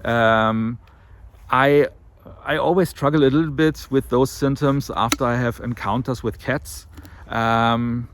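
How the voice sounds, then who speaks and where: A man's voice: medium pitch, fairly even intonation, unhurried, background noise. A man talking casually to camera in a weight loss vlog, slightly nasal from an allergy and rambling with many fillers and self-corrections. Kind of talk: casual monthly vlog talk.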